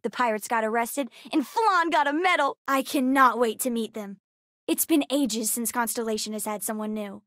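Space-game kid voiced by a woman: high-pitched, earnest, inquisitive girl with a cheeky streak, recorded dry. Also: normal speed, a bit bright, no echo, close to an everyday voice. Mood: curious, chatty. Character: bright, curious, bookish young girl aboard a spaceship. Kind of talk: video game character voice lines.

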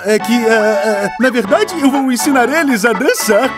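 A man's voice: extremely high pitch, expressive, moderate pace, music in the background. A man with a Brazilian accent voicing a grumpy, easily flustered dog in a children's cartoon, exaggerated and stammering when flustered. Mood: awkward. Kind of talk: animated children's cartoon dub.

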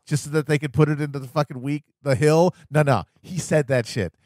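A man's voice: fairly high pitch, a little fast, darkish tone, dry recording, clean studio sound. A man co-hosting a podcast, giving emphatic, wordy, profane comedic commentary on politics in a casual style. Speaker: podcast co-host.

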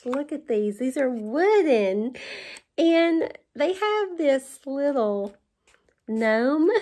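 Woman, 40s, Southern accent, haul vlogger; slightly high voice, unhurried, chatty and delighted, close camera in a living room. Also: darkish tone. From West Virginia. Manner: gushing, chatty, laughs often. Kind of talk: casual show-and-tell haul. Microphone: camera in front at close range.

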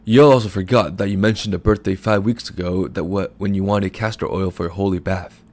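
A man's voice: deep voice, steady tone, normal speed, natural voice. A man voicing a scornful older village shopkeeper who scolds and sneers at a customer. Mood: sneering.

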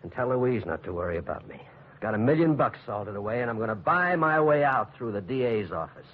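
A man's voice: medium pitch, animated, a little fast, a little hiss or hum, close to an everyday voice. An actor playing a bitter, defiant young jailed man, American, sardonic and brusque, in 1940s radio style. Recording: muffled old radio recording.

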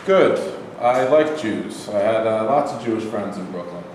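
A man's voice: lowish voice, animated, unhurried, a little mellow. A young man acting a courteous, chatty German army lieutenant questioning a prisoner in a staged reading. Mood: affable, probing. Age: young adult. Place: bare rehearsal room, camera at a distance. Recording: echoey hall from far off, faint noise.

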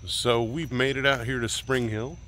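A man's voice: mid-range voice, moderate pace. A man in his forties vlogging a road trip, talking casually and upbeat into a handheld camera, outdoors and in his car. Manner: relaxed, rambling, upbeat. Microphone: phone or action camera at arm's length. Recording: faint outdoor and traffic noise.

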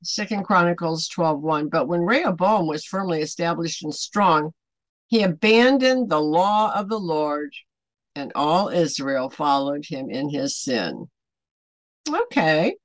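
An older woman in her seventies leads an online Bible study in an earnest, questioning way. She speaks slowly, with lively swings in pitch that stress her points. The sound is dry and close, as in a small room. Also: no echo, poor audio. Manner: earnest, deliberate, emphatic questioning. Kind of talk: devotional teaching to camera, with pointed questions. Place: small home room, indoors.

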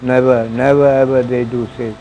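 A man's voice: medium pitch, flat delivery, normal speed, warm tone, dull audio, a little hiss or hum. A man in his sixties with a Malaysian English accent, giving an earnest personal testimony in broken, repetitive English on a lapel mic in an outdoor mosque walkway. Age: 60s. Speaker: interviewee.

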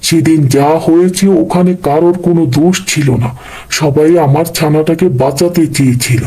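A man's voice: fairly high pitch, steady tone, moderate pace, dark tone, breathy edge, low-quality recording. A man voicing a reasonable, reproachful father bird in a children's cartoon, earnest and admonishing.

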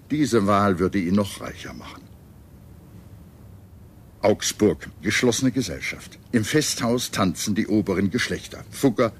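A man reading scripted history documentary narration in a measured, solemn and dramatic manner. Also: lowish voice, slight background noise.

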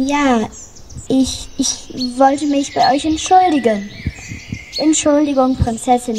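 A woman's voice: sits high, darkish tone, a little hiss or hum, rough recording. A woman voicing a small, lonely, jealous dwarf in a children's radio play, grumbling and defensive. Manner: grumbling, defensive, then sheepish.

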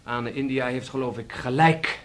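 A man's voice: medium pitch, fairly even intonation, moderate pace, lightly acted. A man acting an earnest, stubborn young beachcomber's son in a noisy 1960s radio play.

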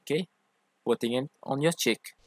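A man voicing a playful, doting, easily jealous femboy boyfriend in an ASMR roleplay, with a teasing, expressive delivery. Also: mid-range voice, noisy background, natural voice. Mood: gentle, playful. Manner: teasing, gushing, expressive, drawn-out emphasis. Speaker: ASMR voice actor.

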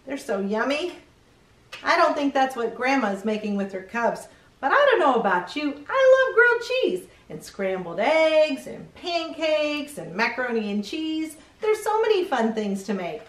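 A middle-aged woman hosting a children's read-aloud, expressive, reading picture-book lines and chatting to young viewers with questions. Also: sits high, unhurried. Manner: expressive, addressing small children with questions and exclamations. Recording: roomy echo, distant microphone.

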